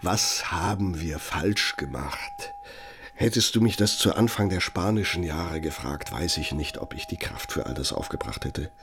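An actor in a radio drama playing an aging, bitter Nazi architect, speaking in a reproachful, deliberate, rhetorical manner. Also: male voice, low voice, expressive, background noise. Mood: bitter, aggrieved. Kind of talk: German radio drama monologue.

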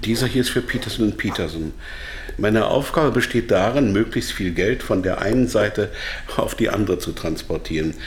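A man's voice: fairly low pitch, expressive, moderate pace, echoey room, close to an everyday voice. An actor playing an evasive captive pharmaceutical salesman in a German radio drama. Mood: matter-of-fact, evasive.

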